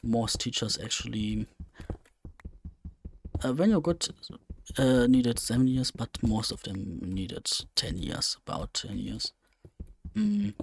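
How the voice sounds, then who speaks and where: Slow, gravelly young man. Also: mid-range voice, very animated, a little hiss or hum. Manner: rambling, thinking aloud with many fillers. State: slightly strained voice. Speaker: let's play gamer. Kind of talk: casual gameplay commentary.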